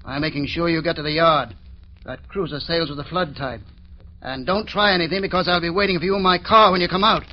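Actor as high-pitched menacing gangster henchman. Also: fairly quick, natural voice. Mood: bullying, impatient. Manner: tough, sneering, impatient. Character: hard, menacing gangster henchman bullying an underling. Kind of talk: 1940s radio acting. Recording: dull, noisy old radio recording.

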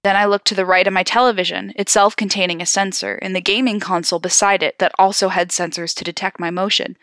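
A woman's voice: medium pitch, quick pace, no room sound. A woman podcast host reading horror stories aloud, with casual, amused asides. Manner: giggly, animated in asides.

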